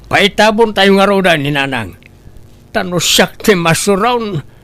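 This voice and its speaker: Lecturer: a man voicing a stern moralizer, pitched high. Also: expressive, a little slow, fairly bright tone, exaggerated voice. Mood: scolding. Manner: scolding, moralizing. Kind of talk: amateur comedy audio drama.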